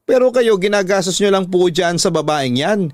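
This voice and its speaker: A man's voice: high voice, expressive, normal speed, no room sound, voice pushed up. A man playing a hardworking, aggrieved daughter, indignant and pleading, in a radio drama. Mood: pleading, exasperated. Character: hardworking, aggrieved grown daughter standing up to father. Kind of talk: Filipino radio drama acting.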